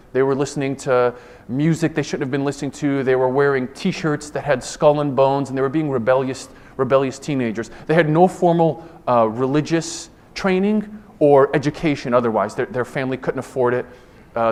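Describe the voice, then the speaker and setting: A man in his forties from Ohio gives a formal presentation in a conference room, speaking earnestly and deliberately. His voice sits at an ordinary middle pitch. He often restarts phrases and repeats words as he works through his points. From Ohio, United States. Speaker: government official giving a presentation.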